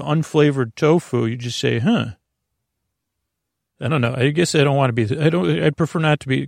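A man hosting a bedtime podcast with a creaky voice, meandering hesitantly through a soothing monologue and often losing his thread. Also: medium pitch, dry recording, studio quality. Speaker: host of a bedtime story podcast to help listeners sleep.

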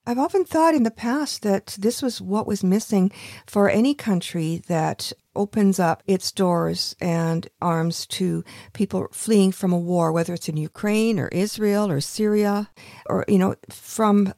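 Older female radio host, gravelly and dark-toned, dry close sound, chatty, encouraging interviewer. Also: medium pitch, dry recording. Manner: warm affirming interjections, thoughtful rambling. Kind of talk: conversational interview.

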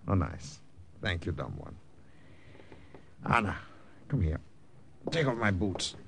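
Imperious brandy-swilling officer, sneering and animated, noisy old radio recording. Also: male voice, low voice, slow pace, heavily acted. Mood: mocking, drunk. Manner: imperious, sneering, barking orders. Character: arrogant, bullying, brandy-swilling German army major. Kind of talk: old-time radio drama acting.

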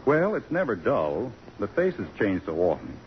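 A muffled vintage radio recording carries a courtly middle-aged man with a low, widely inflected voice. He is urbane and a little hesitant, a wistful academic in a 1950s radio drama. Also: wildly swooping pitch, unhurried, slight background noise. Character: courtly, wistful middle-aged college president, an old flame.

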